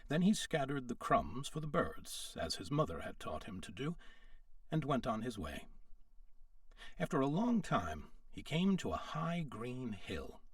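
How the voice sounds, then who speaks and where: A man's voice: mid-range voice, big pitch swings, a little slow, no echo, clean studio sound, recorded from a distance. A man reading a storybook aloud as a narrator, in scripted storytelling style. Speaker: storyteller, audiobook reader.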